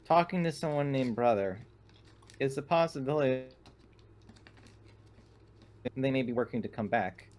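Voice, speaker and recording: A man's voice: medium pitch, expressive, brisk, a little mellow, lightly acted. Man playing a stilted, dutiful synthetic assistant. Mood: reporting. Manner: formal, stilted.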